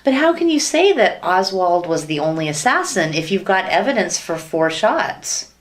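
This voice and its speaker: A woman's voice: lowish voice, very animated, moderate pace. A woman playing a fretful, naive, innocently questioning housewife in a classic sitcom radio play. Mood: innocently curious.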